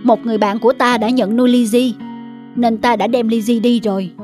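Woman voicing a high-pitched cartoon boy. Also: fairly even intonation, fairly quick, music in the background, close to an everyday voice. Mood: matter-of-fact. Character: young boy who owns pet dogs. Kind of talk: dubbed cartoon acting.

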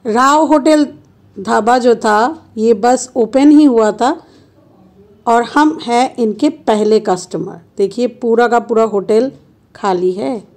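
Woman vlogger, playful chatty narration at an unhurried pace; slightly high voice. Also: a little mellow. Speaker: travel vlogger. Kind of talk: casual travel vlog narration. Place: car and roadside stops.

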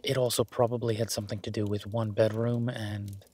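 A man playing an affectionate, easygoing werewolf boyfriend in an intimate roleplay audio, with a husky, breathy voice and a soft, teasing manner. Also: on the low side, flat delivery, fairly quick. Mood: content, romantic. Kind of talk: intimate boyfriend roleplay audio, casual one-sided chat.

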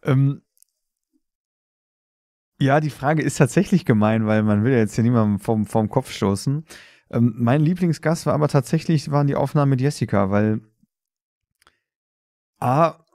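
A man's voice: mid-range voice, moderate pace, long pauses, a little mellow, no echo, studio quality. A man co-hosting a podcast, chatting in a casual, informal way. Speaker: podcast co-host.

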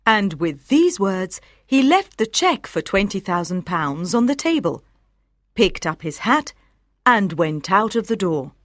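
Animated woman narrating a story. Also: medium pitch, unhurried, no room sound, clean studio sound. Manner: clearly articulated storytelling for language learners. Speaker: audiobook narrator.

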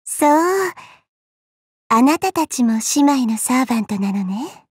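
A petite, youthful game goddess, haughty and teasing in a refined, coy way. The voice is high and soft with a lot of breath in it. Each phrase is drawn out slowly, in a dry, close sound. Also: female voice, big pitch swings, breathy edge, no echo, heavily acted. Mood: aloof, playful. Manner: elegant, coy, slow, refined ladylike speech. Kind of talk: Japanese mobile game character voice lines.

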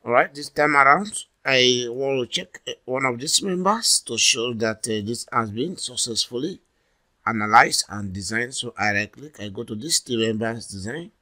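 A man from Nigeria presenting a software tutorial as a voice-over for a screen recording, talking deliberately and often saying "all right". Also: medium pitch, animated.

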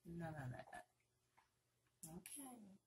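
A woman's voice: on the low side, big pitch swings, noisy background. A middle-aged American woman doing a casual ASMR roleplay as a flirty, playful blackjack dealer. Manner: flirty, playful, unhurried with drawn-out phrases.

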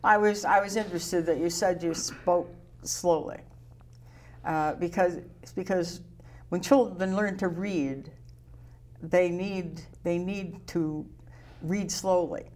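An older woman hosting a studio interview, talking slowly with a low voice and halting restarts, on a lapel mic. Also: steady tone, a little mellow. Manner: slow, repeats words, halting restarts. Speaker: talk show host. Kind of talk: casual interview chat.

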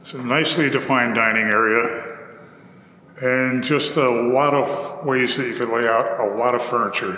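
A man narrating a walkthrough of an empty apartment, describing each room in an upbeat real-estate pitch. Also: bright, forceful tone, slight background noise. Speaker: apartment tour host. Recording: echoey empty rooms.

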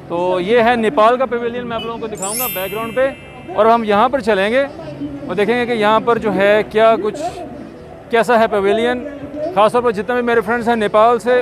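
A man casually narrating a travel vlog outdoors at a busy expo, chatting straight to his viewers like friends. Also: very high-pitched. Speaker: travel vlogger.

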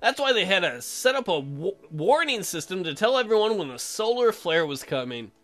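A man hosts a let's play, switching between reading story text aloud and cracking irreverent jokes. His voice is bright and very animated, with faint music behind it. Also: high-pitched, normal speed. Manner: joking, irreverent. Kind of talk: game commentary mixed with reading on-screen text aloud.

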